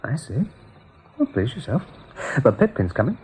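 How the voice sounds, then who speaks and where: An actor playing a bold, stubborn young male rabbit leader in a radio play, brisk and self-assured. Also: mid-range voice, wildly swooping pitch, a little mellow, husky, exaggerated voice. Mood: irritated, stubborn. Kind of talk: radio drama acting.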